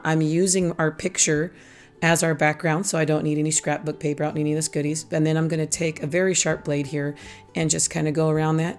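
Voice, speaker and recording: Low, raspy-voiced woman hosting crafts. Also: a little mellow. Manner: chatty, self-teasing, laughs at her own jokes. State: hoarse, losing her voice. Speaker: craft channel host. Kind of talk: casual craft tutorial voice-over.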